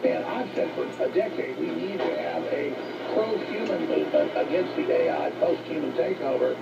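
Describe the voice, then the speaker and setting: Indignant radio host, gravelly and swooping, heard through static-heavy shortwave. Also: male voice, on the high side, wildly swooping pitch, normal speed, muffled recording. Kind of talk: talk radio monologue. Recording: distant roomy shortwave broadcast with heavy static.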